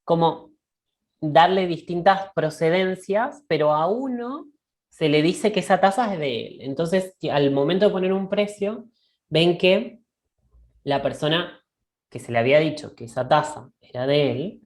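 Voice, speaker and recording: A woman co-hosting a reflective discussion. She thinks aloud in a halting way and keeps checking in with a questioning "right?". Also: lowish voice, expressive, darkish tone. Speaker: discussion co-host. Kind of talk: reflective conversational dialogue.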